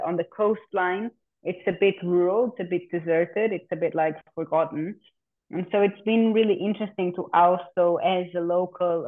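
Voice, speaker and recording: Woman on a phone-like line. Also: lowish voice. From Portugal. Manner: informal, halting, repeats words. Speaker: interview guest, community land steward. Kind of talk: casual conversational interview. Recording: narrow phone-like call audio.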